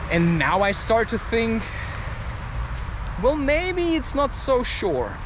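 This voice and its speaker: A man's voice: very high-pitched, big pitch swings, takes it slowly. A man giving a rambling, confessional, questioning monologue as he walks outdoors. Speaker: solo vlogger. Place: outdoors, walking in a public area. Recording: noisy outdoor street ambience.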